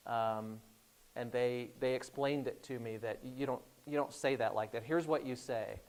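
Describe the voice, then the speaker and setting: A man's voice: mid-range voice, moderate pace. Middle-aged Indiana man telling classroom stories. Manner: relaxed anecdotal, frequent hesitations and restarts. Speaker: guest speaker. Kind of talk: classroom storytelling talk.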